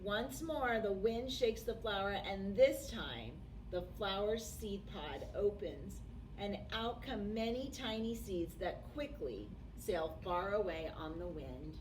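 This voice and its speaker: A woman reading a picture book aloud to young children as a storytime host, with deliberate, expressive phrasing and dramatic pauses. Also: medium pitch. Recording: distant microphone with faint background noise.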